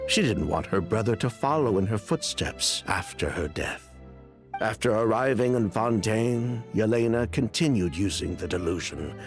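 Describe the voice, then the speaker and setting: A shady video game crook, defensive n wry, voice moves around a lot, bit of music under it. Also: male voice, fairly low pitch. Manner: wry, sighing, self-justifying. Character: evasive, defensive criminal man confessing past wrongdoing. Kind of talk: dramatic video game dialogue.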